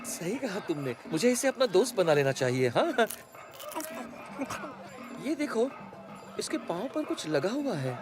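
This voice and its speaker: Animated, eager young cartoon adventurer. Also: male voice, high-pitched, unhurried, pauses often. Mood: curious. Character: adventurous, curious young man raised by monkeys. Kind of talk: Hindi cartoon dubbing. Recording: music behind the voice.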